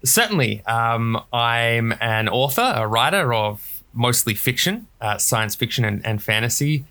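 An Australian man from Australia, a guest author telling his story in a relaxed interview, with many ums and uhs. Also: medium pitch, unhurried. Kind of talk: casual interview.

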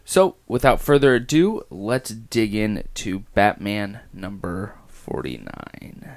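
A man with a raspy voice hosting a casual comic book podcast, talking with many pauses, fillers and restarts. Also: on the low side, expressive, darkish tone.

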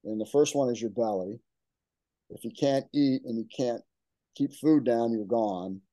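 A man's voice: mid-range voice, a little slow, warm tone, no room sound. A man in his sixties, a guest in a casual interview, chatting in a rambling way with many restarts. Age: 60s. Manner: quotes others in his stories.

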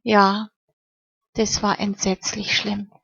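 A woman's voice: medium pitch, little pitch movement, takes it slowly, gravelly, a bit bright, breathy edge, full character voice. A woman reading the part of a cheerful, grateful young girl with folksy southern German turns of phrase. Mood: sad. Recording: slightly muffled recording.

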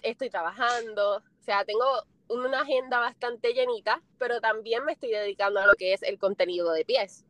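A woman's voice: a little high, moderate pace, hardly any pauses, fairly bright tone. A young woman from Puerto Rico with a Puerto Rican accent, answering interview questions casually and with a smile, laughing often. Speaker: interview guest.

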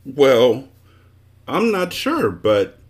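A man's voice: medium pitch, animated, a little fast, pitched above the performer's own voice, close to an everyday voice. A man voicing an unsure, earnest young boy in a storybook, hesitant and questioning.

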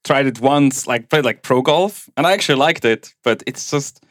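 A man's voice: mid-range voice, normal speed, a bit bright, dry recording, clean studio sound. A man from Switzerland, a podcast guest speaking casually and searching for words.

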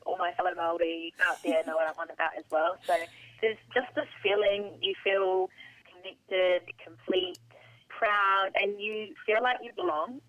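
A woman's voice: medium pitch, moderate pace, a bit bright. A woman with a New Zealand accent, a podcast guest talking in an earnest, reflective way over a phone call. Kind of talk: casual interview. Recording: phone line.

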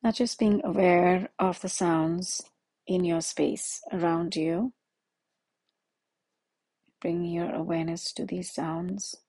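Low, mellow woman guiding meditation. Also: steady tone, slightly rough, no echo. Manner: slow, soothing, with long pauses. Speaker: meditation guide. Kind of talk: guided meditation.

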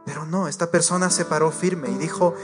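A man's voice: on the high side, fairly even intonation, a little fast, music in the background. A man preaching a sermon to a congregation, earnest and direct, repeating his points and addressing the listeners as believers.

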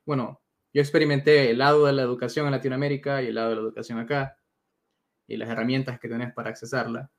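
A man's voice: mid-range voice, creaky. A man from El Salvador, a guest answering interview questions thoughtfully with many fillers over a video call.

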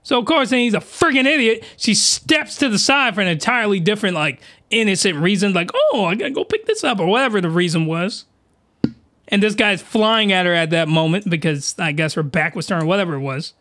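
A man's voice: sits high, expressive, a little fast, bright, forceful tone. A man hosting a podcast, recapping an anime in a rambling, mock-outraged way, losing his voice as it cracks. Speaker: podcast host.

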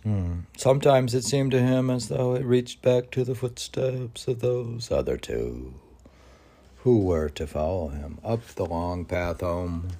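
Male poetry reader, dark mellow tone, very slow pace, musing and conversational between recitation and offhand remarks. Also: mid-range voice. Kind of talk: poetry reading with casual rambling asides.

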